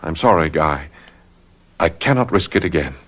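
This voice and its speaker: An actor in a radio drama playing a cautious, loyal physician, earnest and admonishing. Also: male voice, very deep voice, animated, moderate pace, a bit bright, heavily acted. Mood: firm reasoning. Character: cautious, loyal physician, reasoning with his friend.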